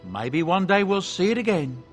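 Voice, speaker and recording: A man's voice: high-pitched, big pitch swings, normal speed, soft background music. An actor playing a genial middle-aged dwarven merchant and adoptive father, telling his story in a folksy, self-justifying way for a video game. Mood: fond.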